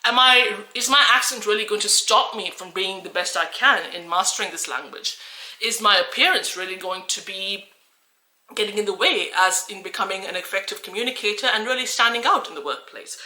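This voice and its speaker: Bright-voiced woman in an echoey room. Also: mid-range voice, fairly quick. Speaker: English teacher and channel host. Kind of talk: personal story to camera.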